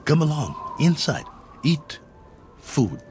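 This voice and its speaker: A man's voice: a little high, big pitch swings, a little mellow. Weary American spaceman, old TV soundtrack. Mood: wry, weary. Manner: simple halting phrases, explaining patiently to a foreigner. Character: stranded one-armed colonel, weary, wry, patient then exasperated spaceman. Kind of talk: 1960s television drama acting. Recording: old TV soundtrack with music underneath.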